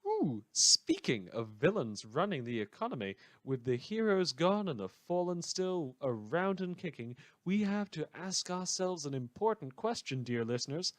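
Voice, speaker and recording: A man's voice: a little high, no echo. Man playing a sardonic radio newscaster, dry close sound. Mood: sardonic, chatty. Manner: wry, theatrical, conversational asides. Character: sardonic, rambling madman community radio newscaster in fiction. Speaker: radio news host. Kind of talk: satirical scripted radio news monologue.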